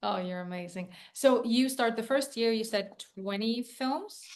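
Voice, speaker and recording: A woman hosting an interview podcast, encouraging and quick with approval. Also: on the high side. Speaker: podcast host. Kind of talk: casual interview.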